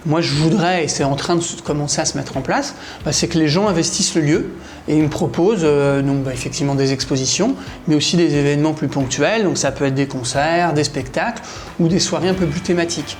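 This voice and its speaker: A man's voice: fairly high pitch, a little fast, a little hiss or hum. A man from the Paris region giving a casual interview, hesitant and searching for his words. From Paris region, France. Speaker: interviewee, café-gallery owner.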